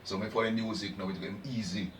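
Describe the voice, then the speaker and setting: A man's voice: lowish voice, steady tone, fast. A young man from Dominica with a Jamaican-influenced patois accent, a dancehall artist chatting as an interview guest. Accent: Caribbean, Jamaican-influenced patois. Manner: patois speech, bursts into chanted rhyming lyrics. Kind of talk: casual interview chat. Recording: roomy, distant mic with faint noise.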